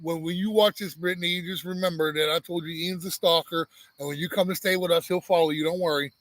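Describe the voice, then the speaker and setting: A man with a flat, monotone delivery chats casually as a live-stream co-host, teasing and circling back on himself with plenty of restarts. His voice sits a touch high for a man and sounds close and dry. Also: fast, dry recording, poor audio. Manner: teasing, rambling, many restarts. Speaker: homestead channel co-host.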